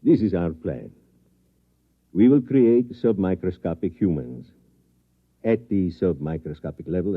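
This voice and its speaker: A deep-voiced scientist in an old radio drama, coolly confident about his grand plan. He speaks formally and deliberately, with measured courtesy. The sound is a dull, band-limited old broadcast. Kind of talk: mid-century radio drama acting.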